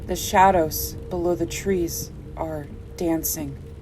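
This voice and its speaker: A woman's voice: low voice, steady tone, takes it slowly, low-quality recording. A woman playing a guilt-ridden, desperate young mother, narrating a first-person horror audio play in a breathless, pleading, dramatic way. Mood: tense, fearful. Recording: music behind the voice.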